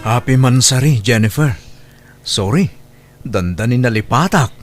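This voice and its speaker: A man's voice: medium pitch, a little slow, soft background music, lightly acted. A man playing an apologetic young boyfriend with an Ilocano accent in an audio drama. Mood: coaxing. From Philippines. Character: apologetic, coaxing boyfriend, eager to please. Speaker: voice actor in comedy drama.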